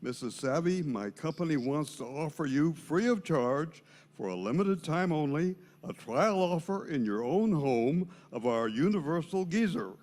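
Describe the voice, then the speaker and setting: Slick telemarketer played by a man for a comic skit: flattering, wordy, very lively delivery, on the higher side. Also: measured pace. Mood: smooth-talking, pushy. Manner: smarmy, grandly wordy sales patter. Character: slick, flattering male telephone salesman. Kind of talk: amateur comic skit reading.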